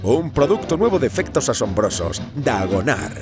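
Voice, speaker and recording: Animated male radio advert announcer over music. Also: medium pitch, normal speed. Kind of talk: vintage 1930s radio advert reading.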